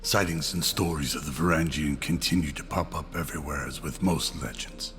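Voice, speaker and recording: A man's voice: a bass voice, a little fast, fairly bright tone, soft background music. A man giving dramatic, scripted narration for an audio drama in a solemn storytelling manner.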